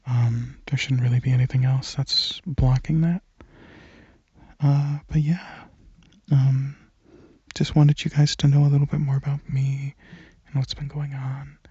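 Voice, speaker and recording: A man rambles casually in a soft ASMR style, very breathy and often close to a whisper. His delivery is slow and hesitant, with informal phrasing. The recording is close and slightly muffled. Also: mid-range voice, level tone, no echo. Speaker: ASMR channel creator.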